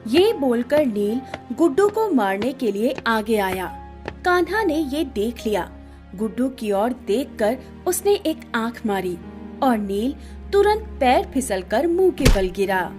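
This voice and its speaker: A woman narrating a scripted bedtime story in an expressive storytelling manner. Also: fairly high pitch, takes it slowly, soft background music.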